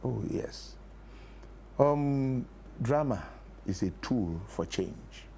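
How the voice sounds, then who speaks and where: A man's voice: medium pitch, animated, drawn-out words, dark tone. A middle-aged or older Nigerian man, a play producer, explains his points in a TV interview, repeating key phrases for emphasis. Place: TV studio talk show. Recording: clear background noise.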